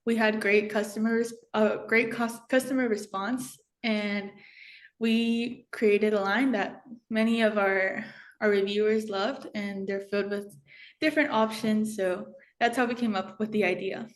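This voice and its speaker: A woman's voice: medium pitch, fairly even intonation, a little slow. A teenage girl, a student company CEO, explaining her product in an interview, careful and a little hesitant. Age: teens. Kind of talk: interview answers.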